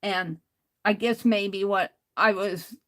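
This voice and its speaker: A woman hosting an art livestream, talking casually to chat in a loud voice, rambling and restarting her sentences. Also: mid-range voice, steady tone, slow.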